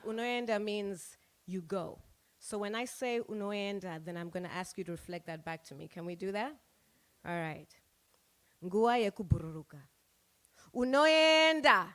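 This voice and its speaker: Bright-voiced woman from Zimbabwe performing poetry. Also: on the high side, smooth. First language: Shona. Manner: chanting a repeated refrain, rhythmic. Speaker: open mic poet. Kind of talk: spoken word performance with call and response. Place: small music club stage.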